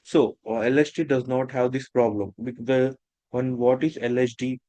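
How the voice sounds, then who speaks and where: A man teaching a technical lesson, talking in a halting way with many restarts and fillers. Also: medium pitch, level tone, mellow, dry recording. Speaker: instructor. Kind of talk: technical lecture.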